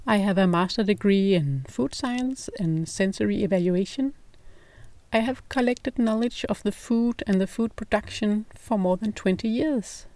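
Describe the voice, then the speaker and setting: A middle-aged woman from Denmark, speaking English with a Danish accent as a non-native speaker, hosting a podcast in a calm, measured, scripted narration. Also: medium pitch, no echo. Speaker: podcast host.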